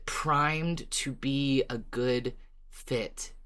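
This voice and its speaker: A woman's voice: extremely low pitch, very slow, fairly bright tone, clean tone. A woman hosting a casual fan discussion about a fiction podcast, speaking enthusiastically and emphatically and swearing casually. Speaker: podcast host.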